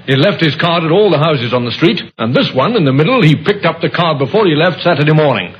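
An actor playing a calm, shrewd police inspector with a measured, terse, courteous manner in an old radio crime drama. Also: male voice, fairly high pitch, husky. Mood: dry, assured. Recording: muffled old radio recording, faint noise.

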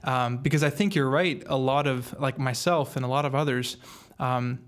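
A man's voice: medium pitch, fairly even intonation, moderate pace. A young man, hosting a casual interview in an informal, affirming way. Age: young adult. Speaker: podcast host, interviewer.

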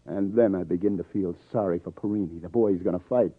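A man's voice: deep voice, quick pace, husky, dry recording. An actor playing a wry, hard-boiled New police detective in a 1950s radio crime drama, with sardonic, clipped tough-guy delivery. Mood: weary, wry. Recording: tinny old radio broadcast, faint music.

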